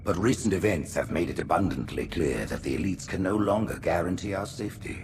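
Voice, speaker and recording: An actor playing a cunning, imperious elderly alien high priest in a game cutscene, speaking in a deep, condescending drawl. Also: male voice, expressive, a little fast, background noise. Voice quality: very deep and gravelly. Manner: commanding, theatrical, condescending. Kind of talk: video game cutscene acting. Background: music.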